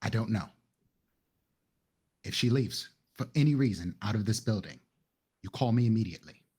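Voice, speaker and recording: A man's voice: on the low side, animated, moderate pace, a little mellow, husky. A man playing in a tabletop roleplaying game, casually describing his character's actions and speaking his lines.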